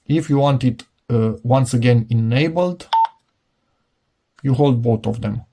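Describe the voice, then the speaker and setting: A man's voice: mid-range voice, a little slow, mellow, slight background noise. A middle-aged man giving a casual, unscripted product demonstration, hesitant and rambling as he thinks aloud. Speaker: product reviewer.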